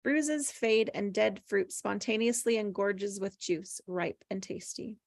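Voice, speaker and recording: A woman's voice: medium pitch, measured pace, vocal fry, no room sound, studio quality. A woman reading screenplay stage directions aloud as scripted narration at a table read.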